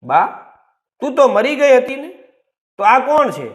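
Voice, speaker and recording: A man's voice: very high-pitched, sing-song, unhurried, pitched above the performer's own voice, full character voice. A man voicing a distraught, devoted young son in a village tale, emotional and pleading. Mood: shocked.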